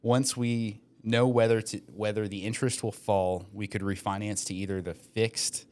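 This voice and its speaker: A man's voice: fairly low pitch, level tone, normal speed, a little mellow. A young man co-hosting a casual podcast, reading listener questions aloud and cracking jokes between hedged explanations. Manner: joking asides, frequent hedges and fillers. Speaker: podcast co-host, mortgage lender.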